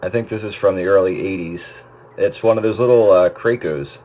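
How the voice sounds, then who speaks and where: A man's voice: low voice, normal speed. A man chatting casually as a hobbyist over an old two-way radio. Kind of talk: casual on-air radio chat. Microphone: radio microphone. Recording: old radio set with loud static.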